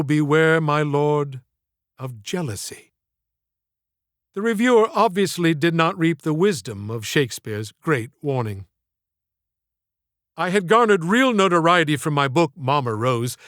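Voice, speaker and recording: A man reading his own literary audiobook in a theatrical, measured storytelling manner. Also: on the high side, fairly bright tone, no echo, studio quality. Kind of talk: scripted literary narration.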